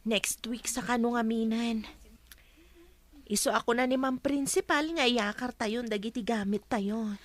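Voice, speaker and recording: A woman's voice: fairly high pitch, a little slow, natural voice. A woman with a Filipino accent acting an exasperated schoolteacher wife who nags and scolds in a radio drama. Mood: casual. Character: exasperated nagging schoolteacher wife, the household breadwinner. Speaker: actor in an audio drama.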